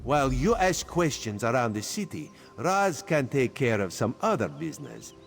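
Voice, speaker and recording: Playful, secretive cat-man spy for a game, lively sing-song delivery, speaks of himself in third person, faint music behind. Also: medium pitch, normal speed, vocal fry. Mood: sly. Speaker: spymaster quest giver. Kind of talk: video game character dialogue.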